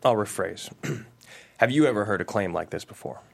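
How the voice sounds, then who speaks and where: A man at a script table read, playing a measured, formal lawyer who questions a witness. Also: fairly low pitch, animated, gravelly. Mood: measured, probing.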